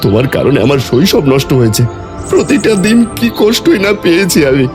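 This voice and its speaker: A man's voice: on the high side, very animated, fairly quick, voice pushed up. A man voicing a heartbroken young man in a horror cartoon, speaking with vehement emotion. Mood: hurt, accusing. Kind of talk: Bengali horror cartoon dialogue. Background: music.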